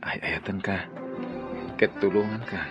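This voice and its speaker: An actor with an Ilocano accent playing an ardent gentleman pleading his love in a radio drama. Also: fairly low pitch, fairly even intonation, measured pace, muffled recording, music in the background, lightly acted. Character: ardent gentleman employer wooing his maid.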